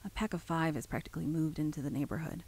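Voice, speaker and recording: An actress playing an uneasy, brooding woman who haltingly records her account in a horror drama, with a husky, gravelly voice. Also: low-pitched, steady tone, a little mellow. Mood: hushed, brooding. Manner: halting, brooding, breaking into whispers.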